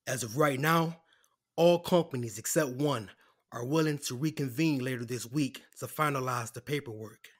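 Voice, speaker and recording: A man playing a composed, apologetic corporate lawyer in an audio drama, speaking in a measured, formal way. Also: mid-range voice, lightly acted. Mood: matter-of-fact. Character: composed, apologetic corporate defense lawyer delivering bad news.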